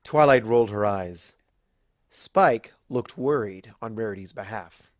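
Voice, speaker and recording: A man's voice: lowish voice, unhurried, dry recording, studio quality. A man reading a scripted story aloud as its narrator.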